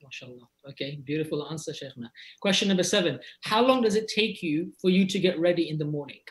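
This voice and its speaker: A man's voice: on the high side, expressive, fast talker. A man hosting an interview, asking a list of questions with a playful, teasing touch. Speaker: interviewer, host.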